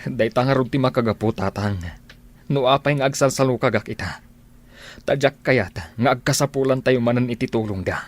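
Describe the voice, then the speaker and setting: An actor playing a gentle, lovelorn man with a sincere, pleading delivery in a radio drama. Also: medium pitch, normal speed, lightly acted. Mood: earnest. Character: earnest, lovelorn man Ferdinand, gentle and persistent.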